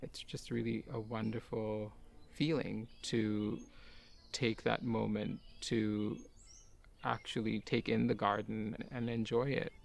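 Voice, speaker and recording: A man who grew up in Kuwait, a garden owner and artist, talking in a soft-spoken, musing way with light laughs. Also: on the low side, level tone, slow, gravelly. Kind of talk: reflective personal talk for a garden video.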